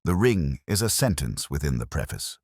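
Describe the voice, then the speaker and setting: A man reading the part of a gentleman detective from a Victorian audiobook tale. His voice is very deep and dry, with expressive rises and falls. He lectures with cool, formal precision. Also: normal speed, slightly rough, dry recording, heavily acted. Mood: coolly amused. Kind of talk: audiobook character dialogue.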